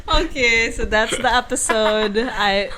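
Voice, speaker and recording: Female Filipino podcast host, higher voice, sweary and joking, relaxed bilingual banter over audible noise. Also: a little slow. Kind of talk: casual bilingual group chat.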